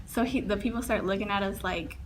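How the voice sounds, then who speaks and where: A woman's voice: mid-range voice, fairly even intonation, fairly quick. A young woman from Portland, Oregon, speaking hesitantly in an interview. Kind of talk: interview testimony. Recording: noisy background.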